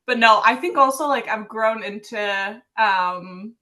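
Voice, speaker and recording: Bright, giggly woman from Sweden. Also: fairly high pitch, a little slow. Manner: giggly, chatty, many fillers. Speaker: author guest. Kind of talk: casual podcast interview.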